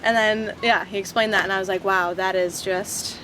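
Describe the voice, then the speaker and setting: A woman's voice: medium pitch, normal speed, a little hiss or hum. A young woman chatting casually as a podcast guest, telling stories animatedly and acting out quotes with frequent laughs. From Washington DC area, raised abroad. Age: young adult. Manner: animated storyteller, acts out quotes, laughs often. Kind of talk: casual conversation. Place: inside a van.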